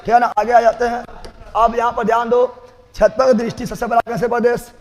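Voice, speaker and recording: A man's voice: very high voice, little pitch movement, fast talker. A man with a Bihari accent teaching exam general knowledge, emphatically repeating key facts and quizzing the class. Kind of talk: live general-knowledge classroom drilling with repetition and question-and-answer. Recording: echoey hall with long reverb.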